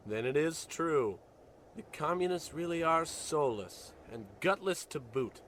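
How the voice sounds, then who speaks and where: A man plays a melodramatic space captain in a radio comedy, slow and grave with big swings of pitch. He sounds patriotic and self-important, with slight noise behind him. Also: lively intonation, a bit bright. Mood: dramatic. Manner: grave, overdramatic. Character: melodramatic, gung-ho Cold War space captain. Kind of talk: parody radio sci-fi drama.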